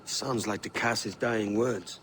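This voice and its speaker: An actor playing a sceptical, self-interested pirate captain in a video game, wry and probing. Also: male voice, fairly low pitch, fairly even intonation, takes it slowly, fairly bright tone, a little hiss or hum. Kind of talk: video game cutscene acting.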